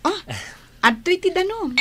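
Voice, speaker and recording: A woman's voice: very high voice, very animated, a little slow, background noise, exaggerated voice. An Ilocano Filipino woman playing a caring, candid young village woman in a radio drama. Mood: friendly, shy.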